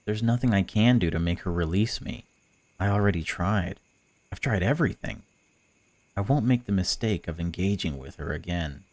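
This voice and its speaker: A man's voice: low voice, animated, normal speed, a little mellow, no room sound. A man voicing a suffering, bitter captive, reading bleak first-person narration from a visual novel. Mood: weary, bleak. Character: suffering, bitter, frightened captive bound outdoors.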